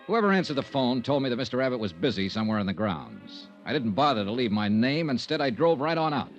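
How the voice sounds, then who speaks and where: An actor playing a wry, unflappable insurance investigator in old-time radio detective style, dry and laconic. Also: male voice, medium pitch, very animated, fast, faint music behind. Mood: brisk, matter-of-fact. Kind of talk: old-time radio detective acting, first-person narration. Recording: muffled vintage radio recording.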